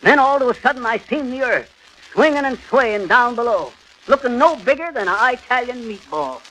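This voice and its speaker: Crackly old radio sound carries a boastful, folksy middle-aged man. His voice sits high and bright. He spins out his lines in homespun, ungrammatical radio comedy style. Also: expressive, heavily acted. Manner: folksy, ungrammatical, drawn-out storytelling. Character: boastful middle-aged folksy male tall-tale teller. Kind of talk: 1930s radio comedy acting. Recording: noisy, crackly 1930s radio recording.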